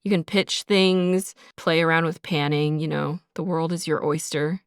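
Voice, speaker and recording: A woman's voice: sits low, steady tone, a little slow, clean tone, no echo, clean studio sound. A woman co-hosting a podcast in an informal, encouraging way, chatting casually with lots of "like". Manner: informal, encouraging, frequent "like" and "sort of". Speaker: podcast co-host and sound designer. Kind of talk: casual conversational podcast talk.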